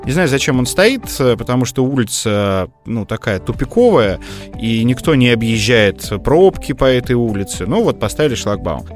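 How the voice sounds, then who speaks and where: A man's voice: mid-range voice, very animated, moderate pace, faint music behind. A man co-hosting a podcast, telling things casually in a hesitant, digressive way full of fillers. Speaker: podcast co-host. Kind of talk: casual conversational storytelling.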